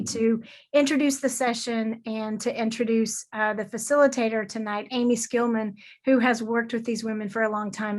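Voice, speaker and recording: A woman hosting an event, giving semi-formal welcome remarks in an earnest, appreciative manner. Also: fairly high pitch. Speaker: event host.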